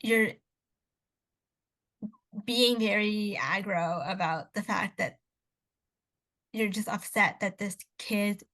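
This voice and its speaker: A woman's voice: medium pitch, slow pace, pauses often, fairly bright tone. A young woman who grew up speaking Cantonese at home, co-hosting a casual podcast chat, talking in a rambling way with many restarts and asides. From Canada. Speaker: podcast co-host.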